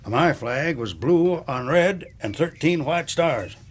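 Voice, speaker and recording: An actor playing a middle-aged, willful, sardonic rich uncle with an American Southern accent in a radio drama. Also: male voice, a little high, expressive, takes it slowly, a little hiss or hum. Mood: bitter, goading. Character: hard-drinking, willful rich ex-Confederate uncle, gruffly sardonic.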